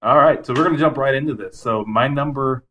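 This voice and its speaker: A man's voice: medium pitch, brisk, fairly bright tone, slight background noise. Man co-hosting a casual podcast. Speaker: podcast co-host. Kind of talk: casual podcast chat about movies.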